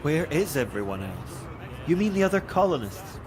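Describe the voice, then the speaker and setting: A man's voice: a little high, sing-song, moderate pace, crowd noise. A man playing one of a group of cloned male colonists in an audio drama. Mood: matter-of-fact.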